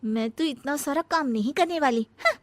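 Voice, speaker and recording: Woman voicing a whiny, high-pitched young daughter-in-law. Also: moderate pace, no room sound, lightly acted. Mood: shocked, resentful. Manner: whiny, dramatic, defiant. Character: lazy, scheming, jealous young daughter-in-law who whines and fakes illness. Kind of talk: cartoon family drama acting.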